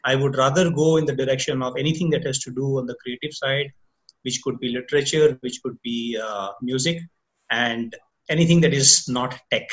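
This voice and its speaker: A man's voice: medium pitch, steady tone, normal speed, muffled recording. A man with an Indian English accent, a company co-founder answering interview questions in a reflective, slightly philosophical way. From Odisha, India.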